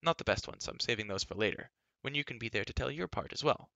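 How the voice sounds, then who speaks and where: A man's voice: medium pitch, lively intonation, fast talker, vocal fry, pushed and bright, no room sound, dull audio. A man voicing a spirited, headstrong, talkative young girl in a storybook, chattering emphatically and with indignation. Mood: eager, chattering. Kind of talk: acted audiobook dialogue.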